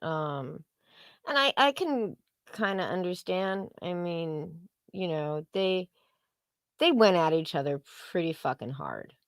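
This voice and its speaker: A woman's voice: fairly low pitch, expressive, slow, no room sound, studio quality. A woman co-hosting a livestream in casual, opinionated chat, blunt and freely swearing. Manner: blunt, profane. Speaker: co-host of a livestream talk show.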